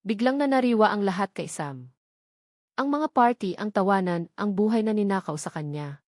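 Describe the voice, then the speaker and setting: A woman's voice: medium pitch, animated, normal speed, a little mellow, dry recording, clean studio sound. A woman reading a scripted, dramatic story as an audiobook narrator.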